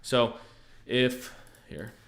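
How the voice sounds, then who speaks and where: A young American man hosting a casual livestream, easygoing and thinking aloud, often pausing on fillers and restarting his sentences. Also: mid-range voice, expressive, creaky. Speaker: trading livestream host. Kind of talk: casual livestream chat answering viewers.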